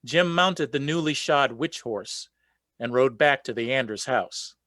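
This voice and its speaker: A man's voice: mid-range voice, unhurried, a bit bright, dry recording, studio quality, close to an everyday voice. A man narrating a folk legend as the storyteller in a scripted radio play. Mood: storytelling.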